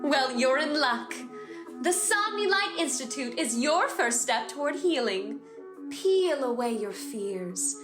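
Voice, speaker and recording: A woman's voice: high-pitched, very animated, slow pace, full character voice. A woman playing an eerily soothing, coaxing institute announcer with syrupy sales patter, in a horror audio drama's mock advert. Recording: echoey, music behind.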